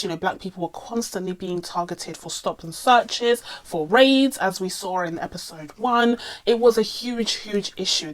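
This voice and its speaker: A woman vlogger speaks in a slightly low voice that sounds under the weather and strained. She explains things earnestly in a casual review style, repeating words for emphasis. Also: rough recording. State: sick, voice strained from a cold or illness. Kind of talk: casual spoken review to camera.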